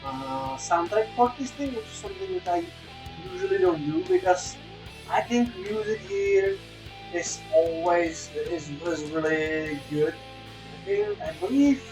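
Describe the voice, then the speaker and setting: A high-pitched male voice in a roomy, echoing space with music behind it. He is an English speaker, reviewing a game off the cuff. His delivery halts and circles back as he hunts for the next word. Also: sing-song. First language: a language other than English. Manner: halting and repetitive, searching for words. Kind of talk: casual unscripted review commentary. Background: soft guitar game music.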